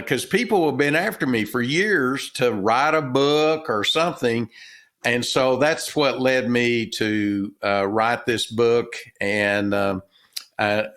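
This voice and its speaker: An older man with a Texan accent, an author and former business owner, answering interview questions in a halting, folksy way with many fillers. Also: mid-range voice, fairly bright tone. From Texas.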